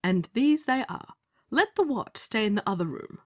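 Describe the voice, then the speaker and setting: A woman's voice: fairly high pitch, very animated, moderate pace. A woman playing a bossy, scheming male justice of the peace in an old stage comedy, theatrical and commanding. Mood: stern, scheming. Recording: muffled lo-fi audio.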